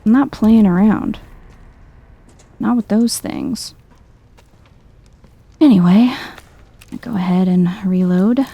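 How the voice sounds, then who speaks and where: Woman, soft-spoken, mellow dark tone, creaky fry, unhurried, chill game commentary, music behind. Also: mid-range voice, muffled recording, a little hiss or hum. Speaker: gaming video host.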